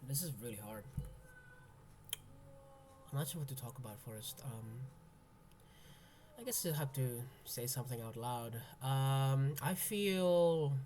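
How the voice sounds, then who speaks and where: A young man of eighteen speaks English, slowly and haltingly, stopping often to search for words. His voice sits at a middle pitch, soft and introspective. A faint hum of room ambience is heard behind him. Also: animated. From Indonesia. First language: Indonesian. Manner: hesitant, halting, full of ums and restarts. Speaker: college freshman. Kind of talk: personal venting monologue.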